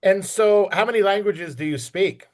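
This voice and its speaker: A man interviewing a guest on a podcast in a conversational, encouraging and deliberate way. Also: high-pitched, darkish tone, a little hiss or hum.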